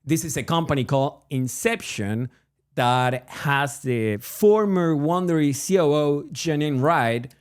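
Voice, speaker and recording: A man's voice: a little high, measured pace, clean tone. Argentine guy cohosting a podcast, joky and rambly, keeps restarting. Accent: Argentine Spanish. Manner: joking, rambling, frequent restarts and laughs. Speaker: podcast co-host. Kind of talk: casual chat.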